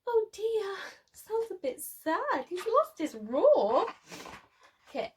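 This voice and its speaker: A woman's voice: extremely high pitch, big pitch swings, takes it slowly, a little hiss or hum. A woman preschool teacher reading a story aloud, speaking expressively in simple phrases to small children.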